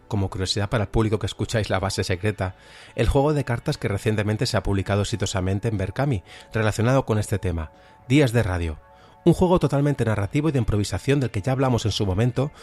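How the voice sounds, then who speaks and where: A man with a Spain Spanish accent presenting a podcast in an explanatory, measured way. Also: fairly low pitch, expressive, a little mellow. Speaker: podcast host. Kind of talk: podcast presentation, semi-scripted. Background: music.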